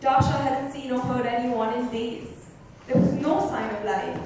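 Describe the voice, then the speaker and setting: A woman's voice: fairly high pitch, moderate pace, darkish tone. A woman reading literary narration dramatically before a microphone. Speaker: reader. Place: club lounge, indoors. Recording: echoey hall, distant mic, background noise.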